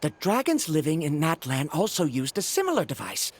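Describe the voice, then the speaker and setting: A man's voice: a little high, wildly swooping pitch, fairly quick, breathy edge, exaggerated voice. An actor voicing an earnest, bookish young male researcher in a video game, lecturing precisely and proud of his theories. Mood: eager lecturing. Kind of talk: video game character acting. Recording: game music behind.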